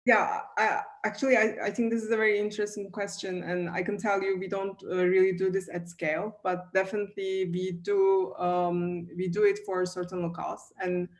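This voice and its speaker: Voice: mid-range voice, level tone, moderate pace, hardly any pauses. A panelist from Turkey whose first language is Turkish, talking thoughtfully on a webinar and searching for words.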